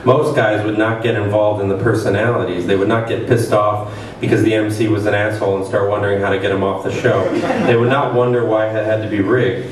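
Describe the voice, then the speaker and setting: Low-voiced man reading in an echoey hall. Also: steady tone, brisk, hardly any pauses. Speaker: reader at a literary event. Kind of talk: dramatic reading of satirical fiction. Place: stage of a small event room with an audience. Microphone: table microphone on a stand. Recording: very echoey hall, distant mic, noisy.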